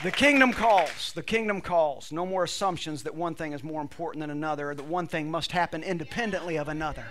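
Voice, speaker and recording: A man's voice: a little high, fast talker, hardly any pauses, a crowd in the background, noisy background. A man preaching a sermon to a congregation, reading scripture with emphatic, repetitive phrasing while losing his voice. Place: church service with a congregation.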